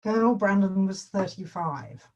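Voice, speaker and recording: A woman's voice: medium pitch, animated, moderate pace, darkish tone, a little hiss or hum, close to an everyday voice. Distant, muffled actress as a snooty woman pedant. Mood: dismissive. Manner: prim, disdainful. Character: snooty, pedantic female Jane Austen purist. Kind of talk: table read of a comedy drama script.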